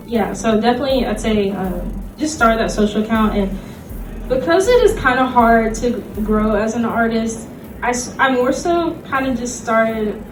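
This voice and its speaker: A woman's voice: fairly high pitch, noisy background. Echoey, informal woman panelist. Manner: hesitant, conversational, says "like" often. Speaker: convention panelist. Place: convention panel room. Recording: echoey panel room, distant microphone, music.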